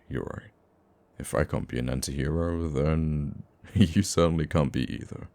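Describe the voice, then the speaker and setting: A man playing a gruffly caring, teasing supervillain who comforts a nemesis in an intimate ASMR roleplay, with a deep, gravelly voice. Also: full character voice. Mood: wry, teasing. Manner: soft-hearted, teasing, halting when flustered.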